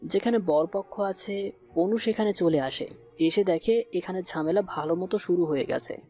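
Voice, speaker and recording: A man's voice: sits high, moderate pace, warm tone. A man reading scripted narration for a story. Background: music.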